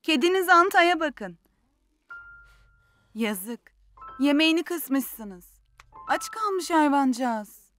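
A gentle mother from a children's cartoon, speaks in a high voice. She takes her time, patiently and encouragingly teaching her children. Soft music plays underneath. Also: expressive, slow, pauses often, exaggerated voice. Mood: gently correcting. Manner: warm, encouraging, instructive. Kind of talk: cartoon dub acting.

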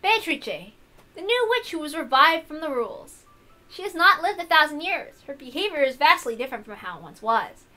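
A woman's voice: high-pitched, sing-song, normal speed, bright, forceful tone. A woman reading a visual novel aloud as scripted, dramatic narration.

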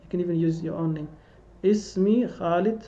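A man's voice: sits high, fast, warm tone, dull audio. A man teaching an online language lesson, patiently repeating phrases for students to echo, recorded through a webcam on a video call. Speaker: Arabic teacher. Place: indoor room.

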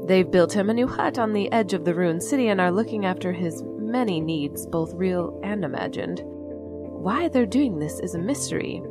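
Weary female android nun, audio drama broadcast, lowish register, dry delivery over prominent music. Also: lively intonation, moderate pace. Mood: wry. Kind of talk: scripted audio drama monologue.

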